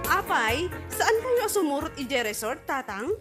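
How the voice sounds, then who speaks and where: A woman's voice: high-pitched, big pitch swings, unhurried, loud music behind the voice. A woman acting a breezy, self-indulgent daughter home from abroad in an Ilocano radio comedy drama.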